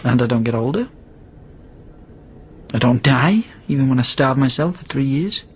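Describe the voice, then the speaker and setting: A man acts out an immortal astronaut, centuries old and sealed in quarantine, in a science fiction radio drama. His voice is mid-pitched, wry and reflective, with a pleading edge. There is clear ambient noise around him. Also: lively intonation, normal speed, lightly acted. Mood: wry, resigned. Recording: clearly noisy background ambience.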